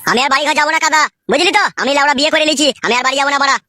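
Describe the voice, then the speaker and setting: A woman's voice: high voice, rapid-fire, sharp tone, no echo, studio quality. A woman playing a crude, swaggering husband in a comedy cartoon, with a coarse, bossy way of talking. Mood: angry, abusive. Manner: coarse, brash, bossy, swearing. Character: crude, swaggering young husband with two wives.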